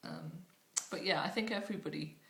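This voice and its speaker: A woman's voice: on the low side, a little fast, a bit bright. A woman from Northumberland with a Northumbrian accent, casually answering questions in a hesitant, rambling way. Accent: Northumbrian English. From Northumberland, England. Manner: casual and chatty, with a hesitant, rambling flow and many ums. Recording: roomy room echo.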